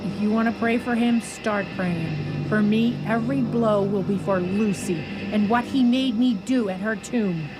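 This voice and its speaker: A woman's voice: mid-range voice, a little slow, few pauses, natural voice, rough recording. A woman acting a grim, bitter vampire hunter bent on vengeance in a radio play, intense and resolute. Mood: bitter resolve. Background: loud music.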